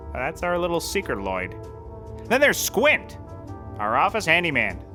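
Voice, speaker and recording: A man's voice: fairly high pitch, wildly swooping pitch, measured pace, bright, forceful tone, soft background music, exaggerated voice. A man playing a deluded, addled slacker detective in a comedy audio drama, rambling and stammering erratically. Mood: confiding.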